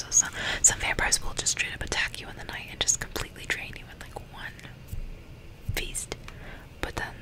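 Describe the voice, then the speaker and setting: A woman whispering softly and breathily into a close microphone, ASMR style, reading a book and chatting intimately in asides. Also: poor audio. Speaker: ASMR reader and commentator.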